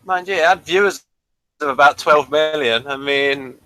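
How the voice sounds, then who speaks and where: A man's voice: fairly high pitch, moderate pace, bright, forceful tone, no room sound, poor audio. A man co-hosting a review, commenting and reacting in casual conversation. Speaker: co-host of a TV episode review.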